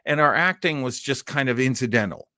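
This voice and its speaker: A man's voice: medium pitch, fairly quick, pushed and bright, no echo, clean studio sound. Casual, enthusiastic male show host. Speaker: host of an old-time radio show. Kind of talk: casual chat to a live audience.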